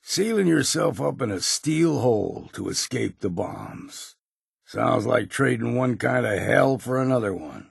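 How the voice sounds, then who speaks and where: An actor playing a grizzled, world-weary old hunter in a video game, with an American accent and a gruff, gravelly, folksy delivery. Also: male voice, medium pitch, expressive, measured pace, no echo, studio quality, heavily acted. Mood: dry, musing. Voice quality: gravelly, husky. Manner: folksy, plain-spoken, blunt. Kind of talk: video game character voice lines.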